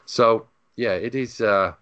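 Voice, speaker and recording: Low-voiced man hosting a tech podcast. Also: takes it slowly, fairly bright tone, no echo, dull audio. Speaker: podcast host. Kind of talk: conversational tech podcast presenting.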